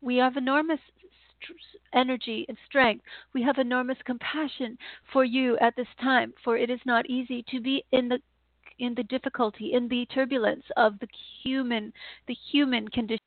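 A woman delivering a channeled spiritual message in a slow, halting, repetitive way, with sighs. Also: on the high side, fairly even intonation, no room sound. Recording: tinny phone-like line, dry.

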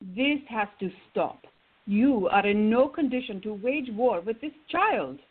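A woman playing an exasperated, bossy older friend who scolds loyally, with a husky voice, in a radio drama. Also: mid-range voice, lively intonation, unhurried, narrow, tinny audio. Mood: scolding. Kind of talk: radio theater acting.

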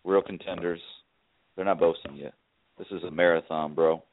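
A man with a bass-heavy voice in casual sports banter, brash and teasing. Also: steady tone, normal speed. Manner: brash, teasing trash talk. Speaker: show regular. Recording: dry tinny phone-like line.

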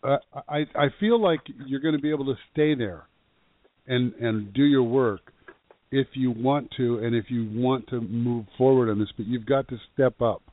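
A man's voice: medium pitch, moderate pace. Blunt man on a tinny phone line. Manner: blunt and direct, repeats words when starting phrases. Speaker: psychic medium co-host. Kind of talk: on-air psychic reading.

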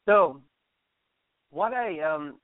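A man's voice: on the high side, animated, extremely slow, dry recording. A man hosting a radio interview, reacting enthusiastically and laughing often. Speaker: radio show host. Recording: dry, tinny phone-like radio sound.

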